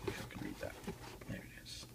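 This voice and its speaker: A soft-spoken man hosting a casual ASMR unboxing, hushed and often slipping into whispers. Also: a little fast. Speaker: unboxing video host.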